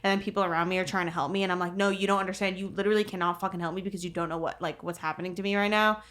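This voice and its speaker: A woman's voice: on the low side, steady tone, racing, a bit bright. A young woman co-hosting a podcast in casual comedic banter, blunt and teasing, swearing freely. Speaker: podcast co-host.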